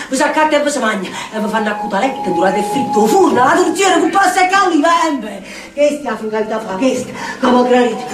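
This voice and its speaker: Echoey, high-voiced actress as a ranting Neapolitan woman. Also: lightly acted. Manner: incantatory, repeating phrases, swinging from mutter to shout. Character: volatile, ranting Neapolitan slum dweller, bitter and mocking. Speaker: stage actress. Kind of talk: theatrical monologue in dialect. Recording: roomy, distant stage sound with music.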